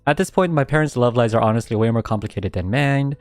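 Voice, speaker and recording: A young man streaming a casual radio-style chat, reading out listener submissions, laughing often and reacting in an animated way. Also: fast talker, no echo, soft background music. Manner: animated, laughs often, jumps high when excited.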